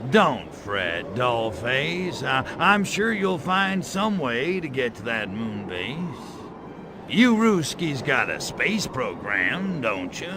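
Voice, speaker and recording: An actor playing a cocky, wisecracking alien invader in a video game, crude, flirty and sarcastic, with a drawling delivery. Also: male voice, medium pitch, very animated, slow pace, background noise. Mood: boastful, flirty. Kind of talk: video game cutscene acting.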